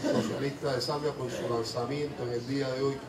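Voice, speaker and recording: A man who is an ambassador and a native Spanish speaker, giving a courteous formal greeting and pausing for an interpreter. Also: mid-range voice, level tone, heavy background noise. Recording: echoey room from far off.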